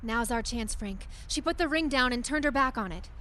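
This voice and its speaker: A woman playing a bossy, easily exasperated adventuress in a radio drama, brisk and quick to take offence. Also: fairly high pitch, expressive, sharp tone, a little hiss or hum. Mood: focused. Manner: brisk, indignant, expository.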